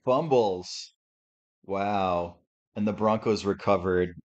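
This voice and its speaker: A man with a deep, sportscaster-like radio voice, hosting a relaxed live reaction stream from home and thinking aloud as he talks. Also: takes it slowly. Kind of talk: casual live game reaction commentary. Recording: slightly muffled lo-fi audio.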